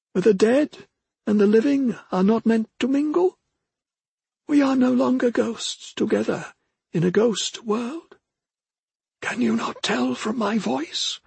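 A man voicing a solemn old ghostly mentor in an audiobook, with a thin, strained voice and slow, formal, drawn-out phrasing. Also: extremely high pitch, gravelly, darkish tone, breathy edge, dry recording, voice pushed up, heavily acted. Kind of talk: audiobook character acting.

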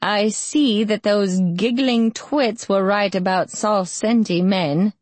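A woman's voice: medium pitch, slow pace, dry recording, pitched above the performer's own voice. A woman voicing a very old, brusque woman healer with a quavering voice, teasing and bossy, in an audiobook. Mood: cackling, teasing. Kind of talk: audiobook character voice.

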